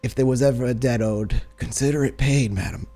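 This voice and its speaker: A man's voice: medium pitch, fairly even intonation, a little fast, mellow, voice pushed up, natural voice. Man voicing a strained, polite wounded manservant over music. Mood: solemn. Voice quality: wheezy, strained. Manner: polite, almost jovial under pain. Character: injured man, deferential and willing servant.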